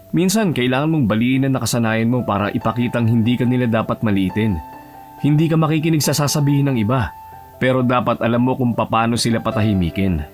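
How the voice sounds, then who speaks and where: A man performs a blunt, aloof young Filipino bachelor in an audio romance drama. He speaks at a medium pitch, calmly and firmly, with guitar music behind him. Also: natural voice. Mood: firm advising. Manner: calm, serious, firm.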